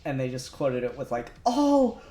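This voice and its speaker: A man's voice: medium pitch, big pitch swings, moderate pace, warm tone. A man co-hosting a casual livestream, rambling and laughing as he thinks aloud while doing 3D modelling. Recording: echoey room with faint music. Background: faint music.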